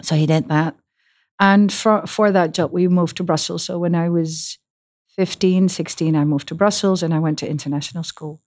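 A middle-aged woman from the Netherlands speaks English with a Dutch accent in an office interview. Her voice sits very low for a woman, and she talks in a lively, open way, now and then pausing to find an English word. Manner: lively, searching for English words. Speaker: podcast guest. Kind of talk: casual interview conversation.

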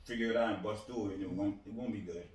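A man from Liberia chats on a podcast, rambling through his story at an easy pace. His voice sits fairly low. He sounds a little off-mic in a very echoey room. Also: steady tone, very fast, far from the mic, a loud crowd. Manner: rambling, laid-back storytelling. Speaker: podcast co-host. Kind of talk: casual chat.

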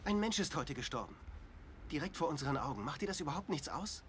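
A man's voice: a little high, very animated, quick pace, fairly bright tone, a little hiss or hum. An actor playing a distressed, bitter young man, blunt and exasperated, in a dubbed TV drama. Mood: weary, guilty. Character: distressed, broke, bitter young man dragged into murder cases.

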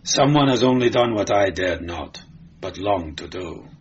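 A man's voice: deep voice, expressive, a little slow, dull audio, a little hiss or hum. Man as a sullen, bitter slave charioteer. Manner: sullen, matter-of-fact.

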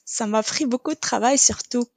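A young woman speaking French as a non-native, talking as a podcast guest and pausing often on filler sounds. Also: on the high side, creaky, no room sound. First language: neither French nor English, trilingual. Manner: hesitant, many filler sounds. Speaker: podcast guest, quality coach. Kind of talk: casual interview talk.

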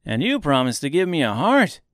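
A man voicing an aggrieved metal man in a storybook, forceful and demanding. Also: a little high, wildly swooping pitch, moderate pace, no room sound, studio quality, pitched above the performer's own voice, heavily acted. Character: Tin Woodman, emphatic, aggrieved metal man.

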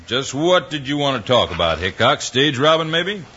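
An actor playing a cocky, taunting outlaw with an American Western drawl in an old radio western. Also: male voice, medium pitch, sing-song, normal speed, bright, forceful tone, husky, exaggerated voice. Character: sly, taunting Western villain, cool and cocky. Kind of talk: 1950s radio western acting. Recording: noisy, muffled old radio recording.

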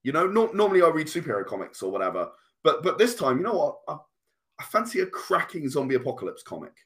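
A man's voice: on the high side, big pitch swings, fast, a bit bright. Man in his late thirties, earnest. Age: late 30s. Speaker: podcast guest, comic and game creator. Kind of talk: casual conversational interview.

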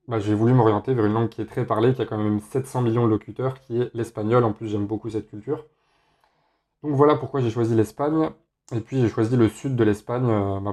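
Relaxed young man with a mellow voice. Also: medium pitch. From France. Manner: relaxed, many filler hesitations. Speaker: podcast host. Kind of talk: casual solo podcast.

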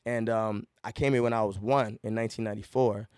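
A young man from Liberia, a guest on a casual podcast, telling stories in an animated way, laughing and sprinkling in filler phrases. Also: lowish voice, quick pace, clear, resonant tone, no room sound, studio quality. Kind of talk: casual interview chat.

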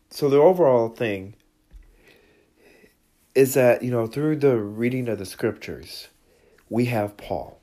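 A man's voice: medium pitch, dark tone. A man giving a casual, earnest sermon, his voice congested from seasonal allergies. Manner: earnest, conversational, with restarts. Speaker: preacher.

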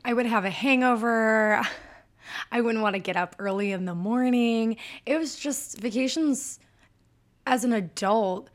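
A woman's voice: on the high side, unhurried. A woman with a Michigan accent hosting a solo podcast, talking in a bubbly, chatty and upbeat way. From Michigan, USA. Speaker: podcast host.

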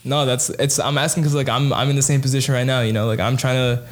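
A young man interviewing casually, asking open questions with relaxed fillers. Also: mid-range voice, fast talker, slight background noise. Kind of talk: casual podcast conversation.

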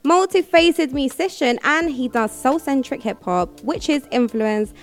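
A woman's voice: sits high, lively intonation, unhurried, faint music behind. A woman hosting a casual interview, chatty and slangy, with enthusiastic reactions. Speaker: radio show host and interviewer.

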